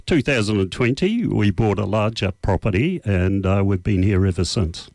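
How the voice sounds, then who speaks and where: A man in his seventies from New Zealand with a New Zealand accent, a radio guest answering questions slowly with many pauses. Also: lowish voice, animated, a little mellow, no echo. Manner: unhurried, hesitant with many uh fillers. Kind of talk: casual interview answers. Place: radio studio.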